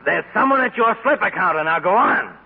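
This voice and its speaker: Casting: officious, condescending store boss, fairly high husky voice, vintage radio comedy. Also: male voice, lively intonation, exaggerated voice. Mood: indignant, bossy. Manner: brisk, condescending. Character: smug, officious department store supervisor. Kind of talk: 1940s radio comedy acting. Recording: muffled 1940s radio recording.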